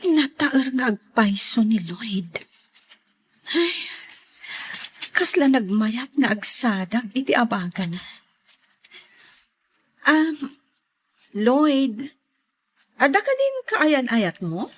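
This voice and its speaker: Breezy, self-indulgent Ilocano wife, played by a woman; high-pitched, leisurely delivery, flirtatious edge, audio drama. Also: big pitch swings, drawn-out words, pauses often, husky, heavily acted. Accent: Ilocano Filipino. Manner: coy, breezy.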